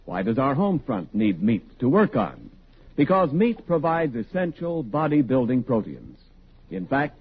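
A man's voice: mid-range voice, husky. A man working as a 1940s radio announcer, reading a script in a formal, emphatic, declamatory style. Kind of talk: 1940s scripted radio narration and sponsor message. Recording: muffled, faintly noisy 1940s radio.

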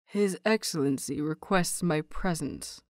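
A woman's voice: low-pitched, a little slow, no room sound, studio quality, voice pushed down. Woman voicing a stern, grudging old male master. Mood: strained, reluctant. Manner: terse, reluctant, forced-out words.

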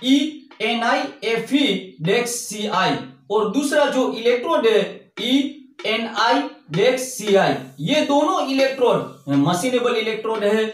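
Slow man explaining in an echoey room. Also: very high-pitched, animated, fairly bright tone. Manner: slow, methodical explaining with repetition. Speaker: welding instructor. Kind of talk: whiteboard lesson. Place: indoor room in front of a whiteboard. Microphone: lapel mic. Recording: very echoey room, distant mic.

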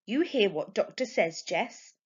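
A woman's voice: mid-range voice, expressive, a little slow, husky. A woman voicing a worried, stern mother scolding her daughter in a storybook. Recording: dull lo-fi home recording.

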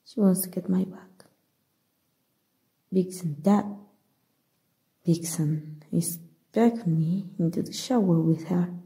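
A woman acting in an ASMR roleplay as a flustered, soft-hearted young female hero, stammering hesitantly in a soft, husky voice with a Mexican accent. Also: lowish voice, slow, long pauses, heavily acted, poor audio. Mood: anxious, inward. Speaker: voice actor.

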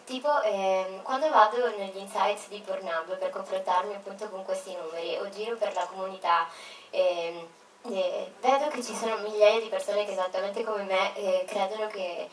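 Young woman talking on a theater stage, stumbly and emotional, roomy hall, sounds far off. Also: medium pitch. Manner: halting, emotional, many fillers. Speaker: speaker. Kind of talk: stage talk. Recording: echoey hall, far-off mic, faint noise.

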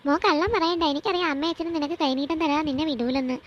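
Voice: sits high, fast, muffled recording, a little hiss or hum, close to an everyday voice. A performer playing a fussing young mother in a cartoon skit, coaxing and nagging her small boy. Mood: coaxing, insistent.

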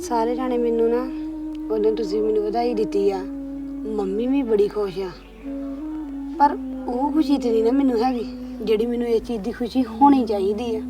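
A woman's voice: fairly even intonation, moderate pace, lightly acted. A woman acting a distressed young married woman who cries and sounds despairing. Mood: subdued. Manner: sobbing, despairing, low subdued delivery. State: crying. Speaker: actor. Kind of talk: scripted drama acting. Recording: distant mic with faint music.

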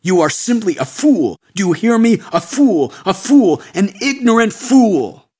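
A man voicing a bitter, angry Indian sadhu in an audiobook, scornful and emphatic, with a husky voice. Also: high voice, big pitch swings, a little slow, darkish tone, voice pushed up, heavily acted. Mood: furious. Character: bitter, angry male Indian sadhu of middle age or older.

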